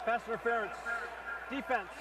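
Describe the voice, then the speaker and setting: A man's voice: extremely high pitch, steady tone, normal speed. A man announcing penalties as a football referee, in staccato official phrases. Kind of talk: formal stadium penalty announcement. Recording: distant stadium PA, roaring crowd, heavy noise.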